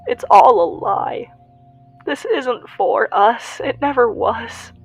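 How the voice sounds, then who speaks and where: A woman playing a young female survivor broadcasting in a podcast-style audio drama, confiding and emotionally raw, with halting delivery. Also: a little high, lively intonation, creaky, breathy edge, faint music behind, exaggerated voice. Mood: bitter, angry. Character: young female survivor and pirate radio broadcaster, grieving, defiant.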